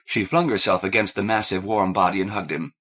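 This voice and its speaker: A man's voice: sits low, fairly quick, fairly bright tone. A man reading a novel aloud as scripted audiobook narration.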